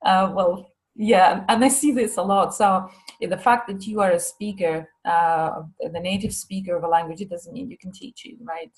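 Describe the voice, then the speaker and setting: A woman's voice: lowish voice, steady tone, moderate pace, few pauses, a little mellow, low-quality recording. A woman presenting a teaching course in a live online question session, chatty and hesitant, with many fillers and restarts. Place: Limassol.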